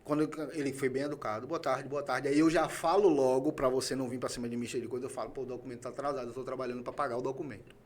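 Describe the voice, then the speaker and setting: A man's voice: medium pitch, steady tone, rapid-fire, few pauses. A man from Salvador with a Brazilian Bahian accent, hosting a casual conversation in an emphatic, colloquial way and acting out quoted dialogue. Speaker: podcast host.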